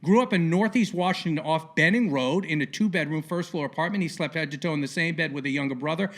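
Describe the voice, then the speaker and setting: A man hosting a casual podcast interview in a colloquial, theatrical way with punchy, emphatic phrasing and a gravelly voice. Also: a little high, fast talker. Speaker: podcast host.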